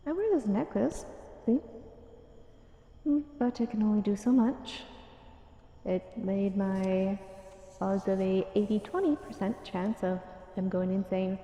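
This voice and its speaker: A woman voicing a courteous, eerie fae librarian in an intimate audio roleplay, softly musing and hesitant, with an echo effect on the voice. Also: medium pitch, vocal fry. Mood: wistful, resigned. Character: gentle, courteous, eerie fae librarian, ancient otherworldly being. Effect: echo added to voice. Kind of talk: audio roleplay, intimate one-sided dialogue to listener. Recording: echoing voice with long reverb.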